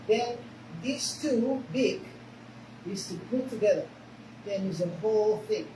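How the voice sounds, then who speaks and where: A woman with a Spanish accent, a native Spanish speaker, teaching a karate class in short broken phrases and often checking in with "okay?". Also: fairly high pitch. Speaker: karate instructor. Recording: echoey room, mic far off, noisy.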